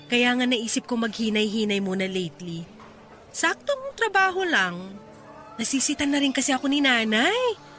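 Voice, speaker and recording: A woman with a Filipino accent playing a hardworking, playful young woman in a radio drama, teasing and expressive as she chats. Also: sits high, full character voice.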